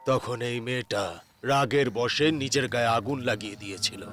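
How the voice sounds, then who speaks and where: A man voices a village priest who fights spirits in a horror tale. He speaks solemnly at a middling pitch, explaining things gravely, with faint music behind. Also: fairly quick, close to an everyday voice. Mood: grave, warning. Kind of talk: dramatized horror story acting.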